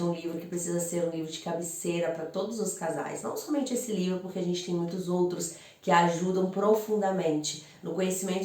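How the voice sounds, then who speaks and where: A woman with a Brazilian accent, a couples psychologist, explaining in a friendly, chatty way with rhetorical questions. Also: on the low side. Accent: Brazilian Portuguese. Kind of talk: informal explanatory talk to camera. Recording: roomy echo, voice from far off.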